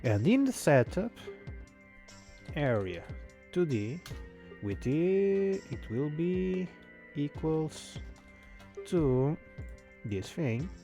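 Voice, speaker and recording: A man's voice: fairly high pitch, big pitch swings, drawn-out words, long pauses, warm tone, soft background music. A man who is not a native English speaker, talking casually while coding a game on a live stream and muttering his thoughts aloud with many fillers. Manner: thinks aloud, mutters to himself, frequent fillers.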